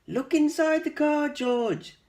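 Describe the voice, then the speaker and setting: A man's voice: very high voice, slow pace, a little mellow, voice pushed up, heavily acted. A man voicing kind, grateful storybook animals in an encouraging, sing-song way. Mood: eager.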